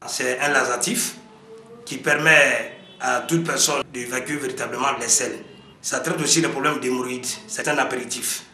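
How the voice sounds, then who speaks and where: A man's voice: medium pitch, fairly even intonation, moderate pace, bright, forceful tone. A man, a health expert, listing points in an interview with some hesitations. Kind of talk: interview explanation. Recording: echoey room with faint noise.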